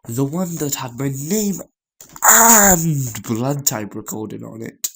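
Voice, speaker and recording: A man with a noticeably creaky, gravelly voice at an ordinary middle pitch reads a visual novel aloud. His delivery is halting, as he trips on words and goes back over phrases while narrating. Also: animated, a bit bright. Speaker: gaming channel host reading a visual novel aloud.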